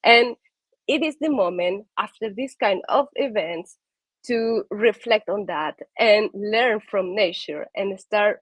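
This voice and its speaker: A woman's voice: medium pitch, no room sound. A woman from Peru with a Peruvian Spanish accent, giving an earnest talk on conservation, with frequent fillers and restarts. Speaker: conservation speaker. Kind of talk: prepared talk.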